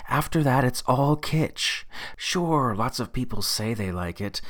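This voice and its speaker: A man acting an eager, awkward guy, animated delivery. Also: mid-range voice, measured pace. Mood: earnest, eager. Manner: earnest, slightly hesitant. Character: eager, awkward young man, a disco-obsessed time traveller. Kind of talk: acted dialogue in an audio story. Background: music.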